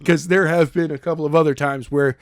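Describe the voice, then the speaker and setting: A man's voice: on the high side, fairly even intonation, a little fast, no echo, studio quality. A man co-hosting a podcast, casually discussing a story with sarcastic remarks and some swearing. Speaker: podcast co-host. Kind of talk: casual discussion of a novel chapter.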